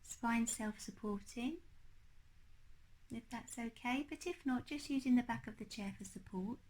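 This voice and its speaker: A woman leading a guided meditation as a teacher, speaking in a gentle, unhurried, hushed manner. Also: fairly high pitch, slight background noise, low-quality recording.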